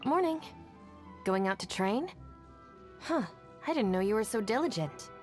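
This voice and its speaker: A woman's voice: mid-range voice, animated, soft background music, lightly acted. An actress voicing a capable, no-nonsense young female gang deputy in a video game, matter-of-fact and dryly confident. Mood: dry, self-assured. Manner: matter-of-fact, composed, brisk. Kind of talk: video game character voice lines.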